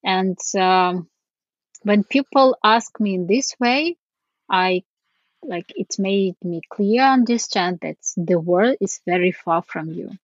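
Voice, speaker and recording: Slow-speaking Ukrainian-accented woman. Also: medium pitch, dry recording, highs cut off. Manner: earnest, halting, many fillers. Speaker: foundation CEO, guest. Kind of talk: interview answers.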